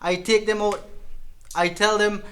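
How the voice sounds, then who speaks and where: A man's voice: high-pitched, flat delivery, unhurried, fairly bright tone. A man playing a controlling, insecure abuser who confesses in a declarative monologue for an educational drama. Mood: blunt, confessional. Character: controlling, insecure male domestic abuser confessing his tactics.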